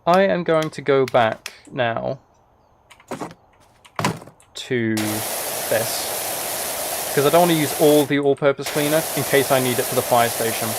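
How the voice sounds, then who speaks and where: A man's voice: medium pitch, takes it slowly, long pauses, background noise. A man live streaming a video game, chatting casually and thinking aloud in a rambling way. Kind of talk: casual chat while playing a video game.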